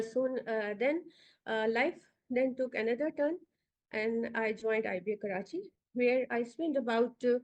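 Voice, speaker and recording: A woman with a Pakistani accent and a fairly high voice addresses an online orientation over a video call. She talks in a loose, hesitant way, full of ums and uhs, stopping now and then to ask for the next slide. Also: a little mellow, dull audio. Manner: hesitant, many fillers, directs slide changes. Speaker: institute founder giving orientation.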